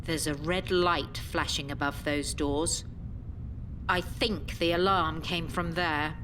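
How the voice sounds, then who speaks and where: An actress playing a stubborn elderly care home resident who believes in conspiracies, in an audio drama. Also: female voice, sits low, fairly even intonation, slow, fairly bright tone, soft background music. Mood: bewildered. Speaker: voice actor.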